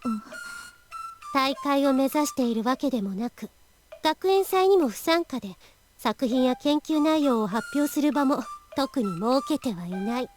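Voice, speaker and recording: High, animated anime schoolgirl. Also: brisk. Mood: stern. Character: anime high-school girls, youthful and expressive. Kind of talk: Japanese visual novel voice acting. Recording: prominent game music behind dialogue.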